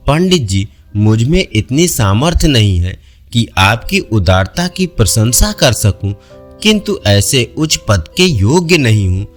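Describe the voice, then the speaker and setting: A man's voice: medium pitch, sing-song, a little slow, soft background music, pitched below the performer's own voice. A man voicing a modest, grateful young officer in a storybook, speaking deferentially. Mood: humble. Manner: humble, deferential.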